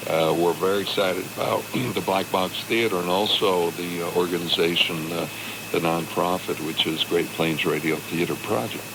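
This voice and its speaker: Very deep-voiced male radio guest, halting delivery. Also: steady tone. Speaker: radio theatre director, studio guest. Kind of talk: live radio interview. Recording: very noisy live radio broadcast.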